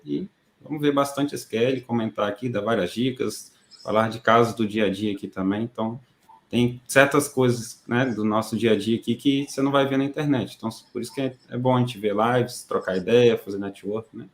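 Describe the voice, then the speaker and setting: A man working as a team leader for databases, introducing himself in a relaxed, joking manner on an online class. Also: medium pitch, a little fast, reverberant.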